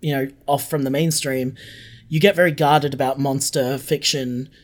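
A woman's voice: a bass voice, steady tone, normal speed. A woman talking casually as an interview guest, candid and emphatic in making points. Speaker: interview guest, audio drama creator.

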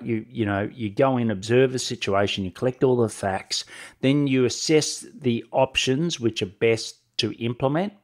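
A middle-aged man with a head cold and a nasal voice, giving conversational advice as a solo podcast host, talking directly to the listener and often checking in with short tag questions. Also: mid-range voice. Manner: direct address with frequent tag questions like "right?". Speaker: podcast host and sales coach.

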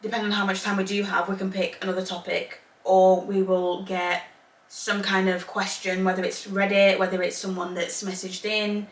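Bright chatty podcast woman, talks in long run-ons, sounds a bit off-mic in an echoey room. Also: mid-range voice, recorded from a distance. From Zambia, raised in England. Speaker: podcast co-host. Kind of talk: casual chat. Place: home room, Northern Ireland.

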